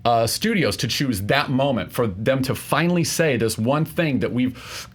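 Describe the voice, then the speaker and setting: Man, medium pitch, casual debate style, emphatic, repetitive for stress, panel contestant. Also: animated, normal speed, breathy edge. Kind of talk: casual pop-culture debate.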